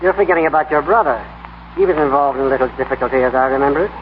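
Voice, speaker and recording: An actor playing a haughty, formal blackmailer who dismisses others, in 1930s radio style. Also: male voice, on the high side, steady tone, rapid-fire, natural voice. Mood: coolly menacing. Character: smooth-talking, haughty blackmailing society villain. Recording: scratchy, tinny 1930s radio broadcast.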